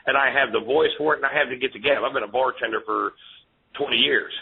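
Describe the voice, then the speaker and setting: A man's voice: mid-range voice, quick pace, creaky. A man in his forties co-hosting a casual talk show, bantering in a joking, laid-back way. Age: 40s. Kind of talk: casual chat. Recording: tinny lo-fi radio stream with faint hiss.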